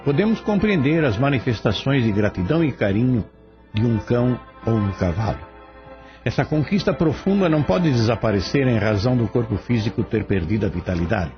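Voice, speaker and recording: A Brazilian man reading a scripted spiritual commentary in a solemn, formal way. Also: mid-range voice. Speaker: commentator on a radio drama. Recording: dull recording under clear music. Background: background music.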